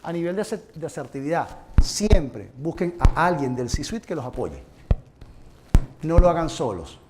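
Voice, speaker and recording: A man from Venezuela gives a live seminar, talking straight to his audience in an earnest, advising way. His voice sits a little high. Steady background noise runs under the recording. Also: a little slow. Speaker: conference presenter. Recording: noisy room recording.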